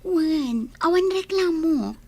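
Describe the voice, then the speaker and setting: A woman's voice: very high voice, expressive, slow, mellow, dry recording, heavily acted. A woman playing a defiant, scheming young village woman with an Ilocano accent in a radio drama. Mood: submissive, scheming. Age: young adult. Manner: pert, talking back.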